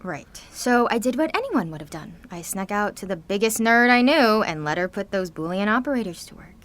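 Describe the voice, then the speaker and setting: A woman's voice: medium pitch, animated, fairly quick, fairly bright tone, close to an everyday voice. A woman playing an anxious, guilt-ridden seventeen-year-old girl with superpowers in an audio drama, speaking in a confessional, sardonic, conversational way. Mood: wry, resigned.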